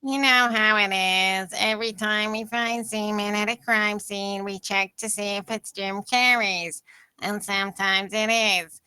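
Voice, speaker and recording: A deadpan court officer, played by a woman in a comedy table read. The voice is bright, smooth and clear, with an even pitch and a dry, close sound. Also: unhurried, no room sound, poor audio. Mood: matter-of-fact. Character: matter-of-fact court officer reporting absurd findings.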